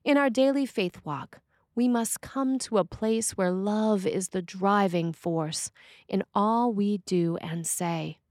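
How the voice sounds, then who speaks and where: A woman reading a scripted sermon as a preacher, with measured, deliberate phrasing. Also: medium pitch, darkish tone, no room sound, studio quality.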